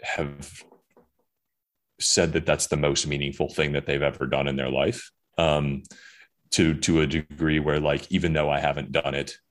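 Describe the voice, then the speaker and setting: Give me a young man with an extremely deep voice, chatting loosely as an interview guest. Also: level tone, moderate pace. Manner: rambling, many fillers and restarts. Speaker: guest author. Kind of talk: casual podcast interview.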